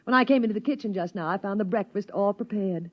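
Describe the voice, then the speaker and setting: An actress playing a cheerful, sensible, coaxing suburban wife in a 1950s radio drama. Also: female voice, medium pitch, fast talker, natural voice. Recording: dull vintage radio recording.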